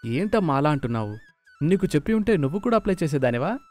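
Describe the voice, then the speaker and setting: A man's voice: a little high, big pitch swings, quick pace, a little mellow. A man voicing a good-natured, joking grown son in a cartoon moral story. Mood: teasing. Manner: joking, mocking. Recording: music behind the voice.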